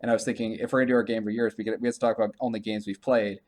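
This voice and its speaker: Rambling, lower-voiced man podcasting. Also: flat delivery, rapid-fire, darkish tone. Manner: rambling, stammering, repeats himself. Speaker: video game podcast host. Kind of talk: casual chat about gaming news.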